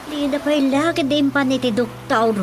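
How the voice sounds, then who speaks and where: A middle-aged Ilocano village mother played by a woman in a radio drama, talking in a fairly high voice. She is superstitious and tells her tales expressively and conversationally. Music plays clearly underneath. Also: fairly even intonation, moderate pace, lightly acted. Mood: skeptical, concerned. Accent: Filipino, Ilocano. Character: chatty superstitious village mother who believes in folk healing.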